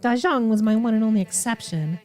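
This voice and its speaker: A woman voicing a soft-spoken, coldly obsessive young female killer from a visual novel, measured and sinister. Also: fairly high pitch, lightly acted. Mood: feigned remorse. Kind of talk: visual novel character reading.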